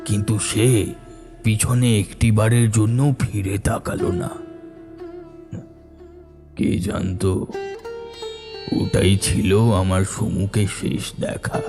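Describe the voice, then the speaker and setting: A man narrating a scripted first-person story in a deliberate, suspenseful way. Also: on the low side, flat delivery, over music.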